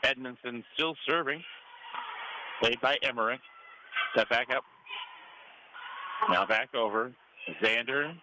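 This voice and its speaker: American male radio play-by-play announcer, live volleyball commentary, medium pitch, clear gym noise behind. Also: takes it slowly, long pauses. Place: high school gym during a match. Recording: clearly noisy gym ambience. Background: gym ambience and noise.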